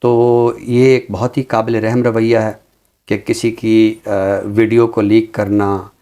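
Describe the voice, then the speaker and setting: A man speaking as a commentator on a literary show, in a deliberate, reflective way with emphatic phrasing. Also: lowish voice, level tone, mellow, smooth. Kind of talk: reflective commentary and Urdu poetry recitation.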